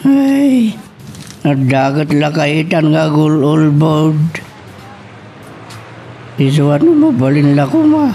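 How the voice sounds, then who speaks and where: Slow, high-voiced grumbling villager. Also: male voice, dark tone, full character voice, low-quality recording. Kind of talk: Ilocano radio drama acting.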